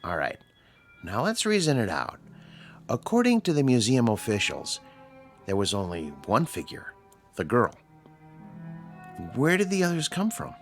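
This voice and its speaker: An actor in a radio drama playing a patient psychiatrist who explains and probes with measured, calm authority. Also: male voice, medium pitch. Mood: probing. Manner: measured, didactic, probing. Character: patient, reasoning male psychiatrist, calmly authoritative. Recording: radio drama with music underneath.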